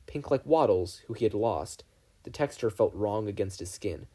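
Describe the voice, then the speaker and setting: A man reading a scripted story as narrator, with a slightly husky voice. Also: lowish voice, animated, normal speed, dark tone. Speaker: fan fiction podfic reader.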